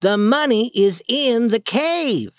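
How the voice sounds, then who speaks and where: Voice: mid-range voice, lively intonation, slow, no room sound, clean studio sound, voice pushed up. A storybook reader voicing a bold, eager young boy adventurer in a raised voice, confident and persuasive.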